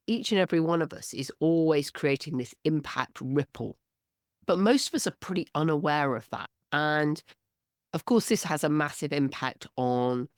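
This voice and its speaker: A woman hosting a business podcast, hoarse from a cold, talking alone in an earnest, explanatory way. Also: deep voice, no room sound, studio quality. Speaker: podcast host. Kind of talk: solo business podcast talk.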